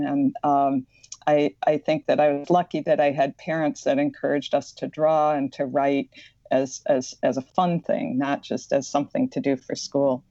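A low, mellow woman's voice with a slight Midwestern accent. She answers earnestly as a podcast guest, often doubling back on her words. The recording is dry and a bit muffled. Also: no room sound. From Madison, Wisconsin. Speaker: author and librarian.

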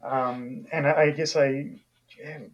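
A man's voice: mid-range voice, steady tone, slow pace, muffled recording. A man from New Zealand with a New Zealand accent, an author talking casually in an interview, thoughtful and full of fillers and restarts.

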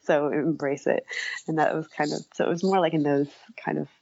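Woman, deep-pitched, gravelly fry, conversational interview guest, halting and reflective, dry lo-fi audio. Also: fairly even intonation, a little mellow, no echo.

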